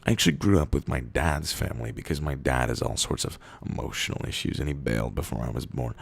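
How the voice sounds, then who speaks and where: A man reading written internet posts aloud in a deadpan, comedic way, with a gravelly, husky voice and drawn-out pauses. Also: a bass voice. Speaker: reader of internet copypasta posts.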